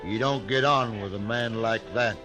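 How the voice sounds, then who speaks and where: A man playing a rough, nervous frontier hide skinner in an old radio western, with a folksy drawl. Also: fairly low pitch, moderate pace, music in the background, lightly acted. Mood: wary. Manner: folksy drawl, eager and wary. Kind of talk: 1950s radio western acting.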